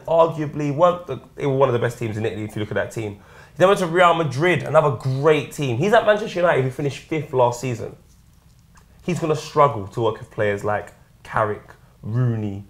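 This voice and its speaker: A young man co-hosting a casual football chat show from a studio sofa, giving opinionated, laid-back banter. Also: mid-range voice. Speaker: co-host. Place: studio set with sofas.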